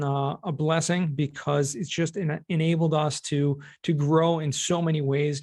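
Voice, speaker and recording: A middle-aged American man speaks as a guest in a conversational interview. His voice sits slightly high for a man and has a clear gravelly fry. He explains things in plain, everyday words. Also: darkish tone. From California, United States. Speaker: podcast guest, cost segregation expert and former teacher.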